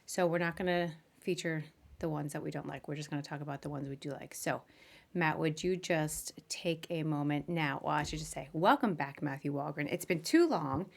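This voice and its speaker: A woman with a slightly low voice hosts a live podcast interview. Her delivery is chatty and informal, like a casual conversation with a guest. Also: normal speed, creaky. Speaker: podcast host.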